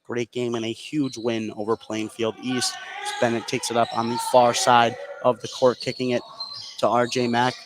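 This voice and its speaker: A man's voice: mid-range voice, completely flat delivery, moderate pace. A man doing live play-by-play radio commentary on a basketball game, describing the action in short running phrases. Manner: clipped present-tense running description. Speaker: play-by-play sports announcer. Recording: live broadcast with clear background noise.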